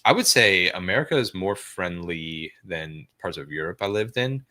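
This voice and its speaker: A man's voice: sits low, lively intonation, moderate pace, bright, forceful tone. A man co-hosting a movie watch party with casual, slangy joking banter and some swearing.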